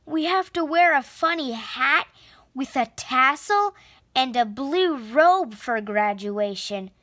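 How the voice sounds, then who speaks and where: A woman narrates a children's storybook. Her voice is high, and she takes her time. The phrasing is deliberate and expressive. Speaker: storybook reader. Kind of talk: children's read-aloud narration.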